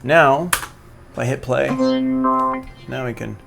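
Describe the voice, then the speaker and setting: A man with a mid-pitched, gravelly voice teaches music production in a casual live tutorial. He thinks aloud, hesitating as he works, while loud music plays behind him. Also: fairly even intonation, takes it slowly.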